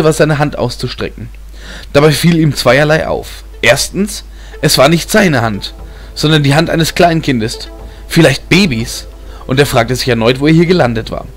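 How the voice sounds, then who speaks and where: A man reading a fantasy story as a dramatic audiobook narrator. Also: a little high. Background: music bed.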